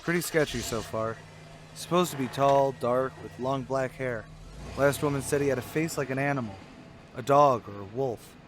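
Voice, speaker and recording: A man's voice: medium pitch, normal speed, lightly acted. Man acting a terse police detective, noisy track. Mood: grave. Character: gruff, dogged male police detectives on night duty. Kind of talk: old-time radio police drama dialogue.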